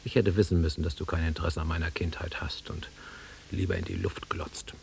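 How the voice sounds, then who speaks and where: An actor playing a nostalgic, easily offended middle-aged man with a husky voice in a naturalistic radio drama. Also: deep voice, little pitch movement, fairly quick, a little hiss or hum. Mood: bitter, irritated. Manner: wistful, insistent, easily offended. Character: nostalgic, touchy middle-aged man revisiting his childhood fields.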